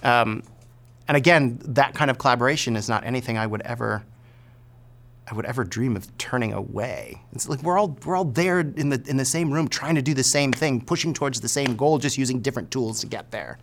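A man's voice: mid-range voice, a little fast, a bit bright. A man being interviewed as a theater director, talking casually and reflectively about collaboration.

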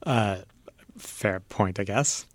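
A man's voice: fairly low pitch, takes it slowly, no room sound, clean studio sound. An American man hosting a radio show in a conversational, lightly scripted style. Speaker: radio host. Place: radio studio.

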